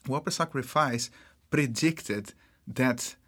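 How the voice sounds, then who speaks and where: A man from Brazil with a Brazilian accent, a marketing executive speaking as an interview guest in a halting way, full of fillers and restarts. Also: medium pitch, fairly bright tone. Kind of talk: casual interview talk.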